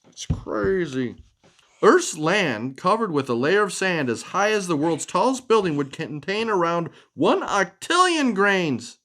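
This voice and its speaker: A man's voice: high voice, sing-song, measured pace, fairly bright tone. A man hosting a children's storytime, reading expressively and chatting casually to explain things. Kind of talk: animated picture-book reading and casual chat with kids.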